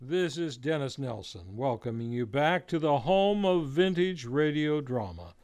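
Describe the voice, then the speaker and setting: A man's voice: medium pitch, animated, a little slow, darkish tone. A man hosting a live old-time radio show, introducing the cast in a formal announcer manner. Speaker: radio play host. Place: local community theater stage. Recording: live show with guitar music behind.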